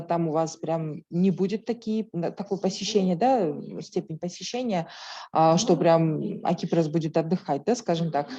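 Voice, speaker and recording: Woman urging folks on a video call, low mellow voice, muffled lo-fi sound. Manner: earnest, urging. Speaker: speaker addressing municipalities. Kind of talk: online meeting address. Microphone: video call audio.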